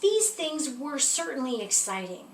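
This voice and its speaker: High-voiced woman reading a story, echoey room. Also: sing-song, unhurried. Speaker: storyteller reading a children's picture book aloud. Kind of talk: expressive children's story read-aloud. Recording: roomy echo, distant mic, faint noise.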